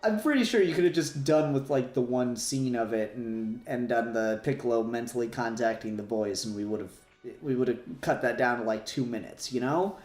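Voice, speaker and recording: A man speaks in a roomy, echoey recording at an ordinary middle pitch. He talks like a podcast co-host in a casual fan discussion, rambling along and swearing freely. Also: moderate pace, few pauses, darkish tone, soft background music. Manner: rambling, profane.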